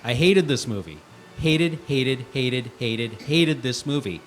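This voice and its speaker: A man's voice: medium pitch, expressive, takes it slowly, a little hiss or hum. A man giving an emphatic, exasperated dramatic reading of a written review. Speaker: guest reader on a charity livestream.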